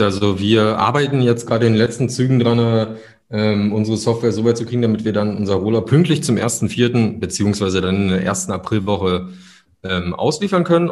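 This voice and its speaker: A man from Berlin with a fairly low voice speaks as a podcast guest. He explains with frequent "äh"s and hesitations. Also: brisk, a little mellow. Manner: many hesitation fillers, explanatory. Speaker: company executive guest. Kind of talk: podcast interview.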